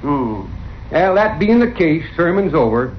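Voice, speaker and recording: Crooked frontier parson, high-pitched, lively swooping delivery, glib, folksy, old radio western, muffled. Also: male voice, measured pace. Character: crooked, folksy small-town man playing parson. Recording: dull, hissy old radio recording.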